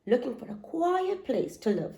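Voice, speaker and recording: A woman's voice: high-pitched, big pitch swings, moderate pace, vocal fry, mellow, full character voice. A woman voicing three noisy young foxes who fuss and get scared easily. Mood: fussy.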